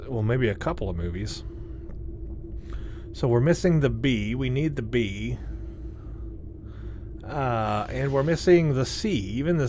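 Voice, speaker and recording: A man gives casual commentary as the host of a game tour, speaking at a middling pitch. His voice sounds tired and worn from talking, and there is noticeable noise with game ambience behind him. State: voice tired, starting to give out. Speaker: gaming video host. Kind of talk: casual game tour commentary, reading on-screen text aloud.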